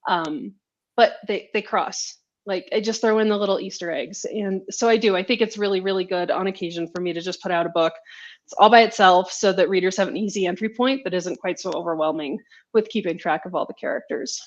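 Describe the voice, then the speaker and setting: A woman author chatting casually in a live interview, telling stories in an animated, giggly way over a video call. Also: mid-range voice, a little fast, highs cut off. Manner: chatty, animated storytelling, laughs often. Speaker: romance author being interviewed. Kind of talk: casual live book chat.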